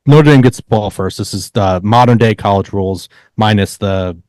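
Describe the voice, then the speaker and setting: A man co-hosting a podcast, telling stories in a casual, halting way and repeating words as he searches for the next. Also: fairly low pitch, a little mellow, no echo. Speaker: podcast co-host. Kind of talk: casual sports storytelling.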